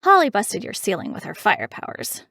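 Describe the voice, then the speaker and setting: A woman voicing a brash, mischievous teenage party girl in a cartoon, loud and slangy. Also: medium pitch, wildly swooping pitch, normal speed, no room sound, clean studio sound, heavily acted.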